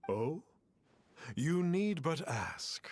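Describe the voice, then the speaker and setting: An actor playing a pompous masked charlatan who poses as a wise immortal master, speaking in grand archaic phrases for a video game. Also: male voice, a little high, sing-song, a little mellow, full character voice. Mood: smooth, condescending. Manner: grandiloquent, archaic, slow and theatrical.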